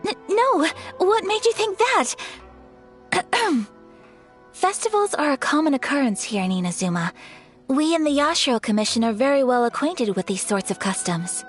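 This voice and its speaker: Polite young noble lady, high soft formal anime game voice, dutiful, faint music behind. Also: expressive, moderate pace. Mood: flustered. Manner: formal, courteous, softly earnest.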